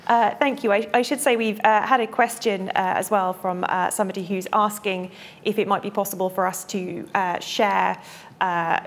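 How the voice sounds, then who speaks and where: A woman's voice: mid-range voice, steady tone, normal speed. Polite woman chairing a panel. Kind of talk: moderating a panel.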